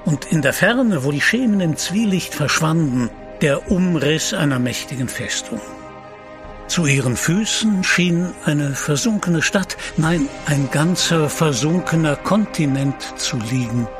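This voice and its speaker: A male narrator tells an adventure story in a radio play. His voice sits a little high and he is measured and solemn. Soft music runs underneath. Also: expressive. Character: literary narrator of an adventure tale. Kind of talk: scripted dramatic storytelling.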